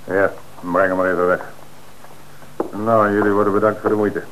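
An actor playing an authoritative police commissioner in an old radio play. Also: male voice, on the low side, level tone, moderate pace, background noise, close to an everyday voice. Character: middle-aged male police commissioner in charge.

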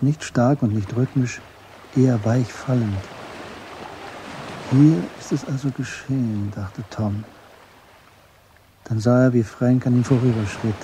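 A man narrating a German literary radio drama in a measured, understated way. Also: medium pitch, dark tone, slight background noise, close to an everyday voice.

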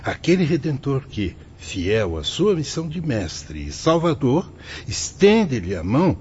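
An actor playing a benevolent priest guide in a Brazilian radio drama, speaking in a solemn, measured, didactic way with a Brazilian accent. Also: male voice, medium pitch, very animated, a little mellow. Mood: earnest, preaching. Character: benevolent priest guide in a spirit-world reformatory. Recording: dull lo-fi audio with faint noise.

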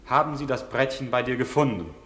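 A man's voice: medium pitch, lively intonation, fairly quick, a bit bright, lightly acted. A man playing a persistent, formally polite defence lawyer in an old German radio drama. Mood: insistent. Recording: echoey hall with long reverb.

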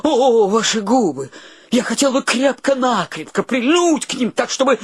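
A man playing a flustered, romantic young bachelor in a theatrical radio play, excitable and gushing. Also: extremely high pitch, normal speed, husky, heavily acted. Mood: ardent.

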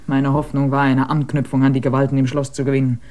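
An actor in a German radio drama playing a devoted member of a poor village family, earnestly explaining. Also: male voice, medium pitch, monotone, quick pace, a little mellow, natural voice.

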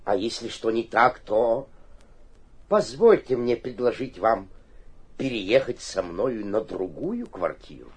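Wily middle-aged town governor, husky, very animated pitch, obsequious, fearful, theatrical radio drama. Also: male voice, slow, full character voice. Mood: deferential, nervous. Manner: fawning, swinging from pleading to sly muttering. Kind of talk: theatrical radio play acting with muttered asides.

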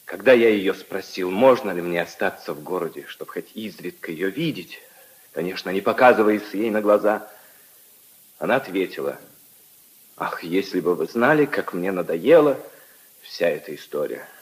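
An actor playing a lovelorn minor official, resigned, earnest and polite, in a solemn 1950s radio drama style. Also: male voice, low voice, expressive, normal speed, rough recording. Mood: resigned sorrow.

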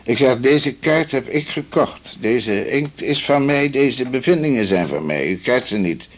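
A man's voice: medium pitch, expressive, unhurried. A man playing a smug, conservative, patronizing older doctor in a radio drama, pompous and full of hesitant ehs. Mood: indignant. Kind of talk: 1980s Dutch radio drama acting.

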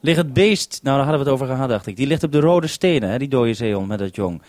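A man's voice: medium pitch, lively intonation, fast, a little mellow. A man from the Netherlands hosting a two-way radio conversation, handing back with "over" as he goes. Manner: says "over" to hand back. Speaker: radio host.

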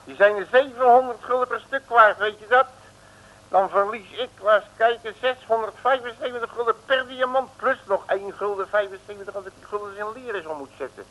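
An actor playing a grumbling, streetwise detective with slangy curses in an old comic radio play. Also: male voice, high-pitched, fairly quick, a bit bright. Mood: scornful. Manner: slangy, colourful cursing. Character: blustering, grumbling, streetwise Rotterdam private detective. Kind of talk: 1950s-60s comic radio play acting. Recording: noisy old radio recording.

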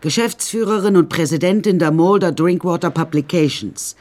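A woman's voice: on the low side, normal speed, a little mellow, close to an everyday voice. A woman playing an eccentric painter who tells her troubled life in a wry, rambling, matter-of-fact way, as radio drama narration. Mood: brisk, confiding.

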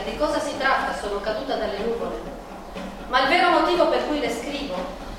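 An actress playing an anguished, defiant woman painter in a theatrical monologue, dramatic and shifting between hushed murmurs and cries. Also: high-pitched, lightly acted. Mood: anxious, earnest. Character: Frida Kahlo, anguished, defiant, passionate woman painter in pain. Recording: echoey, distant, noisy stage recording.

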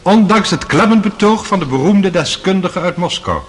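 An actor playing an authoritative male judge in a radio courtroom drama, formal and measured. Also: sits high, lively intonation. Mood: official, declaratory.

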